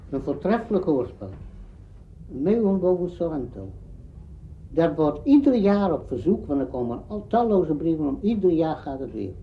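An older man, a radio drama veteran, reminiscing in an interview in emphatic, halting phrases. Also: on the high side, very animated. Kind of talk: spoken reminiscence. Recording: dull archive recording, faint noise.

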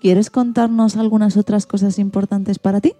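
A woman's voice: medium pitch, flat delivery, normal speed, warm tone, dry recording, faint music behind. A woman hosting a radio show, presenting and interviewing in a scripted style.

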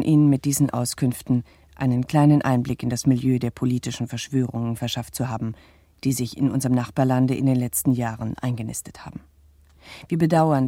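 Very dark-toned, pompous male announcer played by an actor. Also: medium pitch, breathy edge, no echo, clean studio sound, close to an everyday voice. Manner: stiffly courteous, pompous. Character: formal, pompous bourgeois male announcer addressing his public. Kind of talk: formal scripted address in radio drama.

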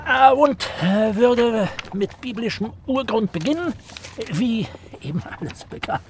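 High-voiced, obsequious traveller in a radio play. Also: male voice, expressive, a little slow, a little hiss or hum, heavily acted. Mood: eager, deferential. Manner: obsequious, meticulous, wordy. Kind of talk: German radio play acting.

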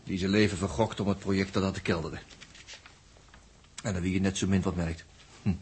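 Guy acting as wry laid-back space mechanic, low voice, colloquial n halting, noisy old sixties radio play. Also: steady tone, a little mellow, natural voice. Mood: earnest. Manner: colloquial, halting, musing aloud with repeated little interjections. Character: easygoing, wry male spaceship mechanic, philosophizing and self-mocking. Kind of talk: 1960s radio drama acting. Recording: noisy old 1960s radio drama recording.

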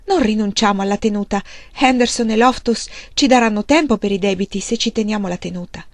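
A woman reading a story aloud and voicing an anxious young frontier wife and mother who pleads, at times in a hushed voice. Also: mid-range voice, a little fast, no room sound, lightly acted. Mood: pleading.

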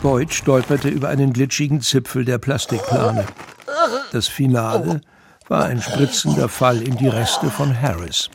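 A man's voice: mid-range voice, a little slow, creaky, very noisy. A man reading dramatic scripted narration for a thriller audio drama. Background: music.

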